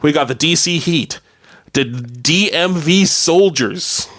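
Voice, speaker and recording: A man's voice: a little high, slow pace, fairly bright tone. A man co-hosting casual radio chat, reading out listings in a jokey, chuckly way. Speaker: radio show co-host.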